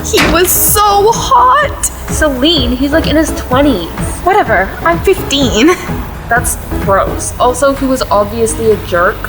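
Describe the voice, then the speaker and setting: Girl acting sassy, animated high-voiced teen. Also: a little slow. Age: teenager. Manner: gushing, sarcastic, slangy. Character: sassy, excitable fifteen-year-old party girl Celine. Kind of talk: audio play acting. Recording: music behind.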